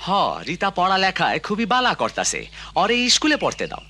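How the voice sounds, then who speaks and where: A man's voice: sits high, huge pitch swings, brisk, sharp tone, slight background noise, full character voice. A man voicing a cheeky, squawky pet parrot that echoes people in a cartoon. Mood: chiming in. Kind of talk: cartoon creature voice.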